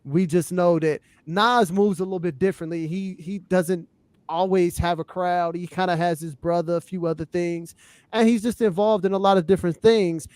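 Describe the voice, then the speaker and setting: A man on a podcast panel talking casually about hip hop, explaining his points in a measured way and often checking that the listener follows. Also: sits high, steady tone, a little mellow, clean tone, no echo.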